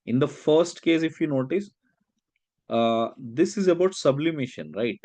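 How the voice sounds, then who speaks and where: A man's voice: fairly high pitch, lively intonation, a little slow, a little mellow, no room sound. A man giving a step-by-step science lecture as a teacher, explaining and often checking in with short questions. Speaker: chemistry teacher. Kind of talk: step-by-step worked-problem lecture.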